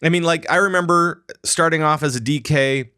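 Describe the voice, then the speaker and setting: A man co-hosting a casual gaming podcast, telling a story with lively acted-out lines. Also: a little high, a little fast, clean tone, no echo, studio quality. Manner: animated storytelling, acts out characters' lines. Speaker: podcast co-host.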